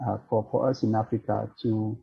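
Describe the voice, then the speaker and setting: A man with a French-accented English voice, answering a panel question in a measured, formal way while searching for words. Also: on the low side, little pitch movement, gravelly, breathy edge, lo-fi sound. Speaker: financial centre representative on a panel.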